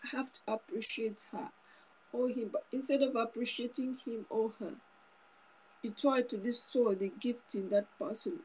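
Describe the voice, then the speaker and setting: A woman's voice: a little high, fairly even intonation, moderate pace, darkish tone, husky, low-quality recording. A woman in her thirties giving an informal, earnest sermon to her phone camera in a small room, often repeating and restarting phrases. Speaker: preacher. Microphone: phone camera close up.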